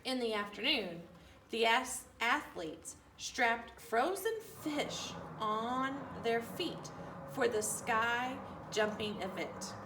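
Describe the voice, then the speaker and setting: A woman reading a children's storybook aloud with an animated, deliberate, singsong delivery. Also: a little high. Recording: roomy, distant mic, faint room noise.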